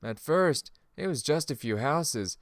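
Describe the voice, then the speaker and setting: A man's voice: a little high, animated, unhurried, no room sound, clean studio sound, pitched above the performer's own voice. A man voicing a friendly, cocky veteran spearman in a fantasy game audiobook, with a casual, folksy, self-assured manner. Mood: welcoming. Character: friendly, cocky male spearman, seasoned gamer.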